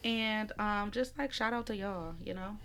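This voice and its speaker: A woman with a husky, cold-roughened voice talks into a microphone at home. She rambles, giggles and swears easily in a loose podcast chat. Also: medium pitch, moderate pace, fairly bright tone. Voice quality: raspy. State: recovering from a cold. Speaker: podcast co-host. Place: home room. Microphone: studio microphone.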